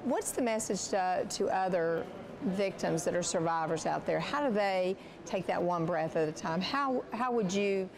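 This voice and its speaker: Woman asking interview questions, low gravelly voice, lots of um and you know, hiss behind. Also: expressive, moderate pace, crowd noise. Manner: searching, filler-heavy phrasing. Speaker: interviewer, state official.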